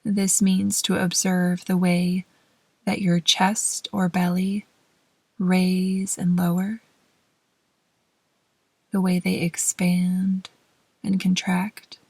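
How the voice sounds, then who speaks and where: A woman gently leading a guided meditation in a soft, breathy voice that often trails toward a whisper, with measured pauses between short phrases. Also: fairly low pitch, monotone, no echo. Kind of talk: slow, soothing guided meditation narration.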